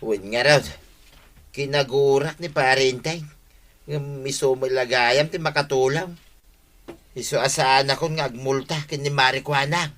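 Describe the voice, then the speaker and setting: A man's voice: medium pitch, fairly even intonation, normal speed, fairly bright tone, close to an everyday voice. An Ilocano man playing a hapless, apologetic villager in a radio comedy drama, pleading and making excuses in a whiny manner. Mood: sheepish.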